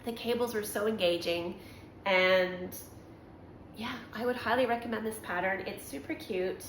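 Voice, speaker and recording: A chatty woman hosting a knitting podcast, her voice slightly raspy from allergies. She rambles along with frequent ums and corrects herself mid-thought. She is recorded in an echoey room with a faint hum behind her. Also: mid-range voice, moderate pace, fairly bright tone. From Canada. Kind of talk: casual show-and-tell chat.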